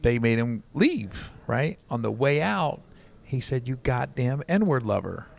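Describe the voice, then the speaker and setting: Animated man telling stories. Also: medium pitch, measured pace, gravelly. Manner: animated storyteller, acts out quotes, says "right?" often. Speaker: comedian guest. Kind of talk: casual podcast chat.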